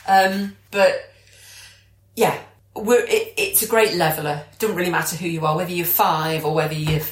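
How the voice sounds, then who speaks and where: A woman's voice: fairly low pitch, fairly even intonation, normal speed. A British woman co-hosting a podcast, chatting casually and enthusiastically. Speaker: podcast co-host. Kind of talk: casual chat. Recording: echoey room.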